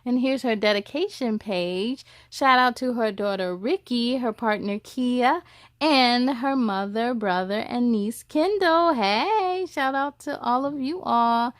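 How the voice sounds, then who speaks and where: A woman reading a picture book aloud as a story time host, expressive, with chatty asides to young listeners. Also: a little high, takes it slowly, no room sound, clean studio sound.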